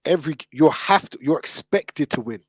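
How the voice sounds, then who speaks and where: A man's voice: fairly high pitch, expressive, normal speed, husky, dry recording. Man, London accent, close dry sound, podcast host, casual football debate, emphatic, repetitive phrasing. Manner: emphatic, repeats phrases to drive points home.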